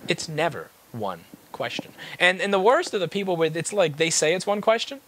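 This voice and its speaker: A man's voice: fairly high pitch, sing-song, fairly quick, a bit bright, a little hiss or hum, rough recording. A man giving a blunt, exasperated, unscripted rant to viewers, stressing points by repeating phrases. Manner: exasperated, occasionally swearing. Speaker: repair business owner making a video.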